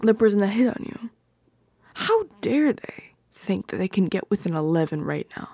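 A woman playing an affectionate, teasing girlfriend in an ASMR roleplay, soft and breathy, often slipping into whispers, close to the mic. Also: medium pitch, sing-song, no room sound. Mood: playfully jealous.

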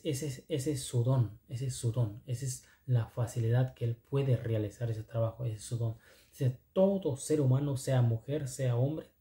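A man from Oaxaca, a native Zapotec speaker with a Mexican second-language accent, giving casual motivational vlog talk in halting, repetitive, earnest phrases. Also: medium pitch, mellow, echoey room. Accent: Mexican, second-language Spanish with Zapotec influence. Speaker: video channel host.

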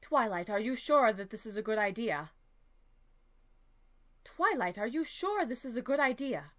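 Woman as an earnest, high-voiced pony princess. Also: sing-song, moderate pace, a bit bright. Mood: concerned. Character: concerned regal female alicorn princess pony.

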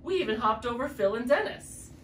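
A drag queen reading a children's story aloud in an expressive, deliberate way. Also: mid-range voice. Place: living room with fireplace, indoors. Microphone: distant camera mic. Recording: echoey room, distant mic, faint noise.

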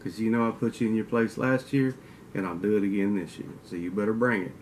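Kentucky guy with a southern drawl, lowish voice, rambly teasing vlog chat at his webcam, faint hiss behind. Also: fairly even intonation, fast talker, a little mellow. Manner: rambling, teasing trash talk. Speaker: outdoors channel host. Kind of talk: casual vlog talk to camera. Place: indoor den with mounted antlers. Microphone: webcam at desk.

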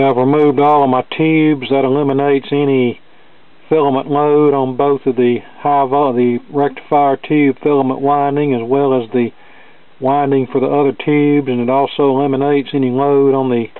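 Even-toned man, narrow phone-like sound. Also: mid-range voice, moderate pace, clean tone, no echo. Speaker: hobbyist radio repair demonstrator. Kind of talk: hands-on repair walkthrough, talking through steps. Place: indoor cluttered electronics workbench.

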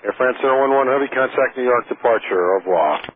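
A man's voice: medium pitch, quick pace. A man working as an air traffic controller, giving clipped procedural instructions over a radio. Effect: radio transmission. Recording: narrowband radio audio with faint static.

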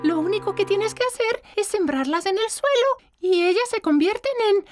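A woman's voice: very high-pitched, expressive, moderate pace, faint music behind. A woman voicing a friendly, confident little girl in a cartoon, with a Latin American accent. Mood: reassuring.

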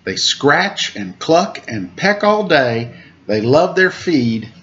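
A man in his forties reads a children's picture book at an unhurried pace. His pitch rises and falls in a sing-song, stretching key words. The recording is dull, made on a webcam in a room at home, with noise underneath. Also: big pitch swings, a bit bright. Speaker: storyteller reading aloud to children. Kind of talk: picture book read-aloud with casual asides.

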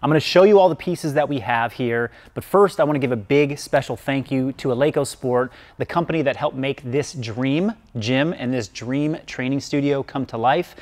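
A man's voice: medium pitch, moderate pace. A man in his thirties, a fitness coach, enthusiastically explaining equipment while walking through a large indoor gym on a lapel mic. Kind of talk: upbeat presenter walkthrough.

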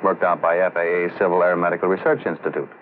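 A man's voice: deep voice, little pitch movement, fast, close to an everyday voice. A man playing a seasoned air traffic controller, explaining his job matter-of-factly in a 1960s educational film. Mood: assured, explanatory. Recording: muffled old film soundtrack, faint hiss.

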